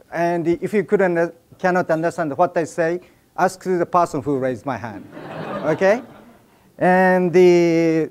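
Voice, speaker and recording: A man with a Japanese accent gives a humorous talk to a live audience, getting people to join in. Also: a little high. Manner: jokey, drives audience participation. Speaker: speaker at an event. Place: event room with an audience.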